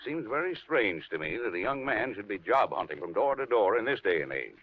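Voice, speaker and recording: Worried low-voiced lodger, very animated. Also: male voice, brisk. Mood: worried, insistent. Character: worried, protective male lodger, fussy but good-natured. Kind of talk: 1950s radio drama acting. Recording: dull, noisy 1950s radio recording.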